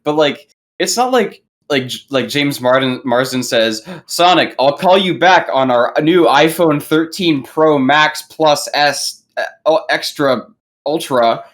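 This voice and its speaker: A man's voice: fairly high pitch, measured pace, a bit bright. A young adult man chatting casually as a podcast panelist, circling back over his phrases and swearing freely. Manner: hesitant, repetitive phrasing, sweary. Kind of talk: casual chat about movies.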